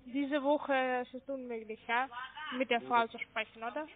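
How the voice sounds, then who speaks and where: Hesitant high-voiced woman on the phone. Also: steady tone, moderate pace, crowd noise. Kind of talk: halting phone conversation. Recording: narrow tinny phone line.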